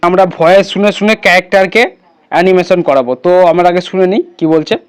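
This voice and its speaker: A man's voice: high-pitched, fast, clean tone. A man hosting an informal step-by-step tutorial, instructing and often checking that listeners follow. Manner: instructional, repeatedly checking understanding. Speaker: tutorial host.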